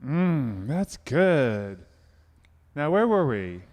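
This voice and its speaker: A man's voice: fairly high pitch, very animated, drawn-out words, darkish tone, exaggerated voice. A man playing a fussy, overbearing gourmet son with a dramatic manner in a live radio comedy sketch. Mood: hesitant, mellowed. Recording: reverberant hall stage recording.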